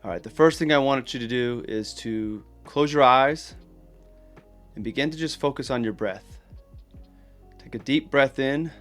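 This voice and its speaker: Male meditation guide, medium pitch, gentle instruction, unhurried pauses, encouraging, plain-spoken, faint guitar music behind.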